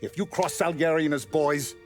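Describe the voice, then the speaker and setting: A man's voice: on the high side, a little fast, close to an everyday voice. Guy acting as ruthless middle aged mob boss, game cutscene, bossy and threatening, music behind him. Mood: menacing. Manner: authoritative, menacing. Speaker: crime boss.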